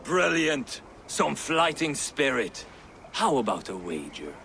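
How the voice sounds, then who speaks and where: Casting: jovial, boastful Viking verse-battler in a game; theatrical, swooping, unhurried delivery. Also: male voice, medium pitch, big pitch swings, creaky, pushed and bright, slight background noise, exaggerated voice. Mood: jovial, challenging. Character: boastful jovial Viking flyting poet, a good sport. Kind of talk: video game voice acting.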